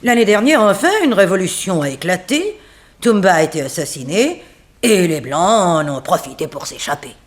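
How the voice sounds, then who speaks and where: A woman playing a composed, unsentimental figure in a 1960s French radio mystery, telling things dramatically with weighted pauses. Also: fairly low pitch, very animated. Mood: dramatic. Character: composed, unsentimental woman recounting a family tragedy. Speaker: actress in a radio serial.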